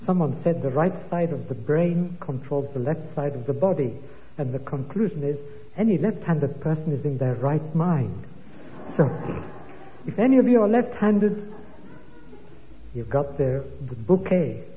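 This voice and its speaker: Man preaching, fairly high voice, slow and emphatic, sounds tinny like over a phone. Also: lively intonation. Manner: slow, emphatic Bible teaching with quoted scripture. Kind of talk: sermon. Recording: tinny phone-like sound in a long-reverb hall.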